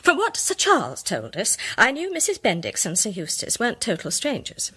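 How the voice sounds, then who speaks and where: Radio drama: self-assured woman sleuth, articulate and deliberate, theatrical reveals, slightly husky, animated pitch. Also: exaggerated voice. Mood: confident, deliberate. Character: shrewd, self-assured woman amateur detective laying out her case. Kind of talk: British radio drama acting.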